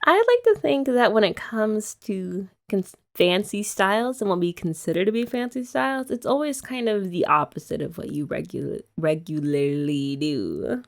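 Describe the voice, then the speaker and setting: A woman's voice: medium pitch, expressive, moderate pace, gravelly, hardly any pauses. A young woman hosting an ASMR girlfriend roleplay, chatty and giggly as she rambles. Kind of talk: soft-spoken ASMR roleplay chat.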